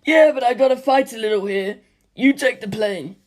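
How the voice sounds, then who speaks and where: A laid-back winged brother-in-arms played by a male reader in an adventure audiobook. His voice is pitched up and drawls its phrases in an easygoing way, though he is keen for battle. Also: normal speed. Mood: easygoing, admiring.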